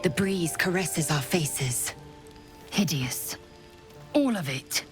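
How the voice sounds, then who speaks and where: A woman's voice: fairly low pitch, expressive, slow pace, over music. An actress voicing a proud, harsh female githyanki warrior in a video game, curt and commanding. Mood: scornful. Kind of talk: video game cutscene dialogue.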